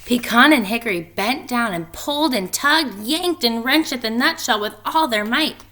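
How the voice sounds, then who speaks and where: A woman's voice: fairly high pitch, animated, moderate pace, sharp tone. A woman reading a children's storybook aloud and talking informally to pupils like a teacher.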